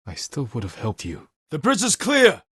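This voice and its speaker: An actor playing an honourable, stoic samurai warrior in a video game, speaking in a measured, solemn way with short, clipped sentences. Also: male voice, on the high side, huge pitch swings, a bit bright, slightly rough, no room sound, clean studio sound, exaggerated voice. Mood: urgent, commanding. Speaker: video game lead character. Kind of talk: dramatic video game voice acting.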